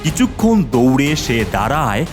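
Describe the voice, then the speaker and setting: A man's voice: medium pitch, big pitch swings, normal speed. A man narrating a horror cartoon story in a dramatic scripted style. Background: music.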